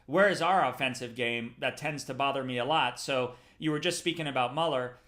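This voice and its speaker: Bright-voiced man hosting political talk. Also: mid-range voice, fairly quick. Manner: earnest, asks pointed questions. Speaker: host. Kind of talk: political talk show chat.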